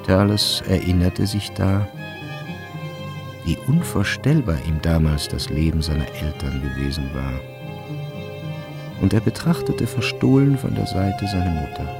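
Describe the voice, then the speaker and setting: A man narrating a literary radio play in a measured, brooding, deliberate way, over music. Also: low voice, sing-song, gravelly. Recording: music behind the voice. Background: string music.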